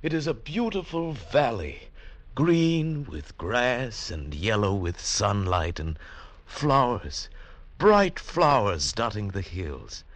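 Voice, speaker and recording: An actor in old-time radio drama playing an earnest, impassioned outsider in love, scorned as a village idiot. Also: male voice, fairly low pitch, very animated, takes it slowly, no echo, full character voice. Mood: rapturous. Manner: earnest, impassioned, hesitant in dialogue. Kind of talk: 1940s-50s radio drama acting and narration.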